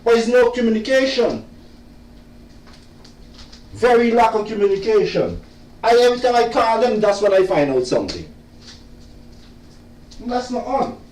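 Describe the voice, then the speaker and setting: A man complaining in a loud, insistent, repetitive way about the poor service from his solicitor, talking casually. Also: high-pitched, very animated, normal speed, pauses often, heavy reverb, distant mic, a little hiss or hum. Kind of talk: casual venting monologue.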